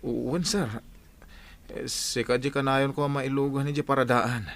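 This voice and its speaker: An actor with a Filipino accent playing a meek, ashamed jailed husband in a radio drama, gravelly in voice. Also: male voice, mid-range voice, level tone, moderate pace, fairly bright tone, dry recording, natural voice. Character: subdued, ashamed jailed husband, former tricycle driver.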